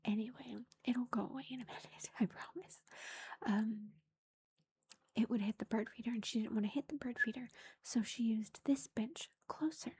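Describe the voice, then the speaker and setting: A woman's voice: on the high side, moderate pace, a little mellow, breathy edge. Whispering woman telling a home story. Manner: whispering throughout, chatty with fillers. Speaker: vlogger. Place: indoors at home. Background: lawnmower faintly outside.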